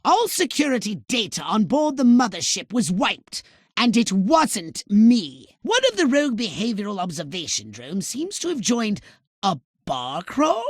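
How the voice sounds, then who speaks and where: A pompous little alien commander from a video game, with a high voice that swoops wildly up and down. He is pedantic and easily exasperated, delivering scientific jargon with sardonic theatrical relish. The sound is dry and close. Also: big pitch swings, measured pace, no echo, full character voice. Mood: sardonic, accusing. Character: fussy, haughty alien scientist commander, male, scheming and exasperated.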